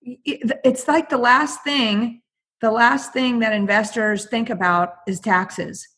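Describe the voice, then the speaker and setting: Playful woman podcast host, husky voice. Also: on the high side, takes it slowly. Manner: playful, joking, excitable. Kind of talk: casual interview chat.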